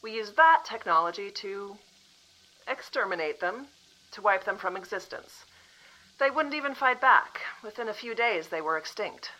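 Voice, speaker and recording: A woman acting a composed female official from the future in an audio drama, calmly apologetic and matter-of-fact. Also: mid-range voice, moderate pace, low-quality recording.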